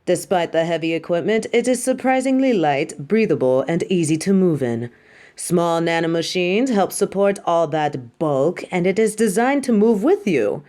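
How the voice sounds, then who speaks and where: A woman's voice: lowish voice, lively intonation, moderate pace, mellow, lightly acted. A woman playing a vain, imperious superhero costume designer in a theatrical audio roleplay, haughty, dramatic and doting toward the listener. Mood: proud, showy.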